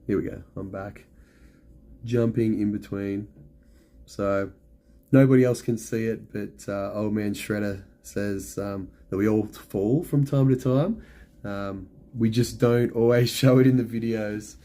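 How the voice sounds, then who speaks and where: A middle-aged man with a hoarse, fading voice, chatting casually on a live stream and answering viewers' questions with lots of ums and you-knows. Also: fairly low pitch, steady tone, unhurried, dark tone. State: hoarse, losing his voice.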